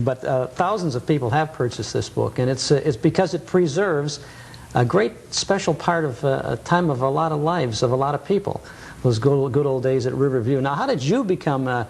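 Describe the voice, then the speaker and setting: An American man hosting a casual nostalgia interview on television, often pausing hesitantly as he phrases his questions. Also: medium pitch, vocal fry, darkish tone, slightly rough. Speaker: host of a nostalgia TV show. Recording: noisy 1980s cable TV recording.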